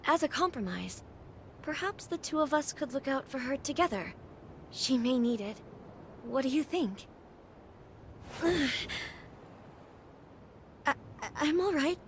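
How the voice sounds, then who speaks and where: High-voiced haughty noblewoman, devoted sister. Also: expressive, unhurried, long pauses, slight background noise. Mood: faint, hesitant. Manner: formal, dramatic, indignant. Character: haughty, overprotective noble younger woman devoted to her sister. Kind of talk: video game cutscene acting.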